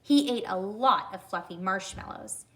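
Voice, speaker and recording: A woman reading a children's story aloud, addressing young listeners deliberately and pausing to ask them questions. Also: medium pitch, bright, forceful tone.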